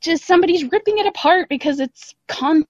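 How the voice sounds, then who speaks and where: A woman's voice: very high-pitched, fast, no echo. A young woman chatting casually and at length as a podcast guest, talking in a self-deprecating way. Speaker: podcast guest, audio drama creator. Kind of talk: casual interview chat. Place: dry room, calling in remotely. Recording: slightly dull, band-limited call audio.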